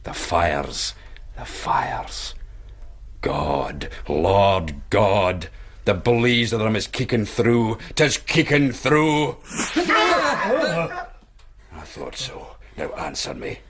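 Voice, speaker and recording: Very deep Scottish soldier. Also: male voice, very animated, very slow, heavily acted. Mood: fierce, incantatory. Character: bold, commanding fugitive soldier, shrewd and theatrical. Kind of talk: period radio drama acting.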